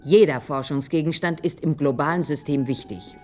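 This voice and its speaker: A woman's voice: deep voice, normal speed, darkish tone, soft background music, close to an everyday voice. An actress playing an idealistic, earnest and stubborn female researcher in a radio play, speaking insistently. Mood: earnest, indignant. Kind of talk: German radio play acting.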